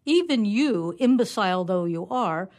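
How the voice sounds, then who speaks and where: A woman's voice: medium pitch, expressive, a little slow, a little mellow, natural voice. A woman reading comic fiction as a haughty mad scientist, scolding in a pompous, florid manner. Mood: indignant scorn. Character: haughty, indignant mad scientist dictating a letter.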